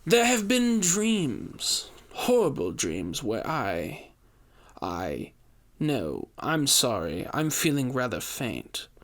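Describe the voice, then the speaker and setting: A man voicing a nervous, insinuating upper-class gentleman in an audio story, speaking in a formal, theatrical, halting way. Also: medium pitch, huge pitch swings, heavily acted. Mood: faint, haunted. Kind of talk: audio fiction character dialogue.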